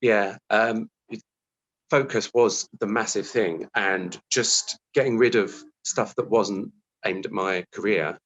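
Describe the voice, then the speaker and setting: A British man with a fairly low voice speaks as an interview guest. He is reflective and conversational, slipping into animated quotes of his own thoughts as he explains things. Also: slow pace, highs cut off. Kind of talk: conversational interview answer, recounting own career.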